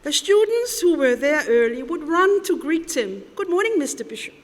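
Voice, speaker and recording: High-voiced Samoan woman, church eulogy. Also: very animated, moderate pace. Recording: long church reverb.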